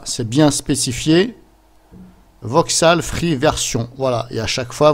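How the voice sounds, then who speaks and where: A man presenting a casual screen-capture software tutorial, explaining things step by step in an informal, chatty way. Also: fairly high pitch. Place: voice-over recorded at a computer during screen capture.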